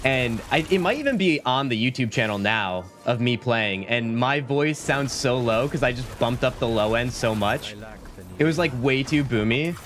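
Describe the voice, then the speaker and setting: A man in his thirties streaming a game from a small home room on a desk mic, chatting casually and rambling, his voice a little deeper from being sick. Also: lively intonation, moderate pace, a bit bright. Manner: laid-back, rambling, says "like" and "dude" a lot. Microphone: studio mic at desk, webcam framing. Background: game music.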